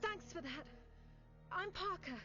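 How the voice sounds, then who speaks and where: A woman playing a brisk, urgent British soldier in a video game, with clipped delivery. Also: very high voice, expressive, lo-fi sound, full character voice. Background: loud background music.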